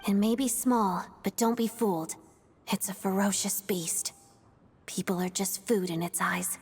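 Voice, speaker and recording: A woman playing a composed young female soldier and ballerina in a video game, speaking in a measured, courteous, clipped way. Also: mid-range voice, a little mellow, a little hiss or hum, lightly acted. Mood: grave, commanding.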